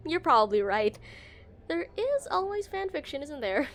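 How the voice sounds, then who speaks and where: A woman's voice: high-pitched, big pitch swings, unhurried. A woman playing an awkward, shy female game developer on a dinner date in a romantic audio roleplay, flustered and hesitant. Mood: gentle, curious. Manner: flustered, hesitant, stammering, giggly.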